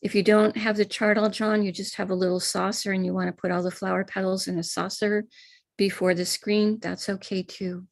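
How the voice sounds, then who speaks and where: Gravelly-voiced American woman guiding calmly. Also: medium pitch, brisk, no room sound. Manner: calm, gentle step-by-step guidance. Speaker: spiritual teacher leading a ritual. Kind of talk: live-streamed ritual instruction.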